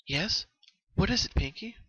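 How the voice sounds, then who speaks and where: Guy doing a bookish girl unicorn, raised pitch, earnest and caring, explains stuff carefully, dry close recording. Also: animated, a little slow, fairly bright tone, slightly rough, no room sound, highs cut off, heavily acted. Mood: concerned, pleading. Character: studious, caring female unicorn pony, earnest friend.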